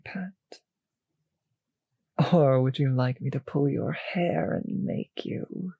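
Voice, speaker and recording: A seductive female vampire mistress, acted out by a woman speaks in a very husky, breathy voice that often drops to a whisper. She coaxes and commands with hypnotic, possessive teasing. It is an intimate ASMR roleplay, close on the mic and very dry, with a slow purr. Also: low voice, mellow, no room sound, full character voice. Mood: teasing, commanding. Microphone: close microphone, dry.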